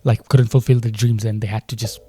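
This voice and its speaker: A man's voice: fairly low pitch, level tone, fairly quick, dark tone, slight background noise. A man from Delhi with an Indian English accent and Hindi as his first language, thinking aloud and questioning as he reacts to a film trailer.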